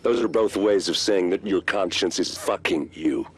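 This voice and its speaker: An actor as a smug rogue agent villain in a video game. His very low voice taunts in a conversational, menacing way, over slight background noise. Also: normal speed, natural voice. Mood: smug, taunting.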